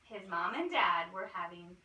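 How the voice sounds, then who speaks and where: A woman's voice: fairly low pitch, lively intonation, normal speed. A roomy, noisy recording of a woman reading a children's picture book aloud from a little way off the mic. She tells it expressively, like a teacher, and speaks directly to the children listening. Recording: roomy, distant mic, clear noise and clicks.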